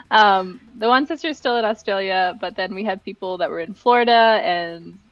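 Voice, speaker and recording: A woman's voice: mid-range voice, moderate pace, no room sound, dull audio. A young adult woman chatting casually and giggly as a livestream guest, rambling through personal stories. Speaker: livestream guest, event director and dancer.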